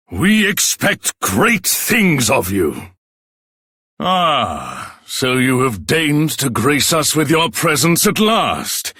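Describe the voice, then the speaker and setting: A man's voice: on the high side, fairly bright tone, breathy edge, no echo, clean studio sound, full character voice. An actor playing a solemn, pompous king in a fantasy game, with a stately, declamatory delivery. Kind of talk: theatrical fantasy game voice acting.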